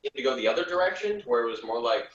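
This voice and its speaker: Echoey room, man a bit away from the mic, halting casual review talk, critical. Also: medium pitch, very animated. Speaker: podcast reviewer. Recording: roomy, distant, slightly muffled.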